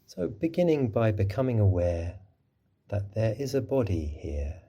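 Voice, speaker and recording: Low, mellow, husky man guiding meditation. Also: big pitch swings. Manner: gentle, unhurried, soothing instruction. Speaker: mindfulness teacher. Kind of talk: guided body scan meditation.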